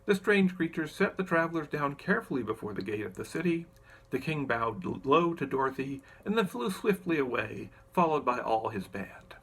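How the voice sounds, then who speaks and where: Male audiobook reader, expressive storybook narration, highish voice with a creaky edge. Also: normal speed, low-quality recording.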